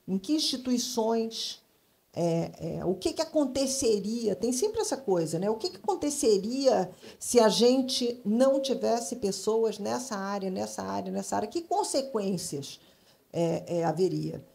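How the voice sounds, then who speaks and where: A woman's voice: medium pitch, animated, measured pace, vocal fry, dark tone. A middle-aged woman from Brasília, Brazil, speaking emphatically as a panelist in a live discussion. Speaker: panelist, advertising professional. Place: stage at a public event.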